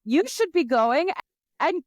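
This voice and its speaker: High-pitched woman, emphatic chat. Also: animated, slow pace, no room sound, clean studio sound. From Egypt and the Middle East. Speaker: podcast co-host, former special ed teacher. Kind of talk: casual panel chat.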